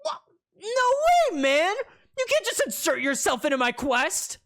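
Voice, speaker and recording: A man's voice: high-pitched, very animated, moderate pace, no room sound, clean studio sound, voice pushed up. A storyteller voicing a cheeky, boastful young male commoner hero who teases his rival. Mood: protesting.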